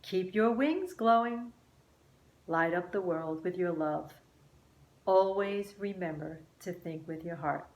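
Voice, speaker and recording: A woman voicing a kind, encouraging butterfly mentor in a children's storybook, speaking in a gently instructive way. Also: on the low side, takes it slowly, roomy sound. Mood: uplifting.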